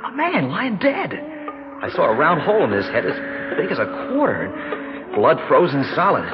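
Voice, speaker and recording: Nervous young city fellow, lively high voice. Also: male voice, moderate pace, highs cut off. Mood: panicked. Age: young adult. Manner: hesitant, stammering, rattled. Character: nervous, reluctant young city man out of his depth. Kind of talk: 1960s radio drama acting. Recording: band-limited radio recording, loud music.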